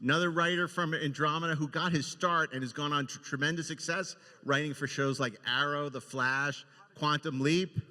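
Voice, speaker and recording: A man hosting unscripted, introducing things effusively and enthusiastically, with a halting, filler-heavy delivery. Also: fairly high pitch, fairly even intonation, sharp tone. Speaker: podcast and convention panel host. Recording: echoey hall with long reverb.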